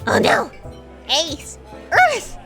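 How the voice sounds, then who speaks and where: High, excitable fact checker. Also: female voice, extremely slow, faint music behind, full character voice. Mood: alarmed. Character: earnest, excitable, worrying female fact checker. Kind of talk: audio drama acting.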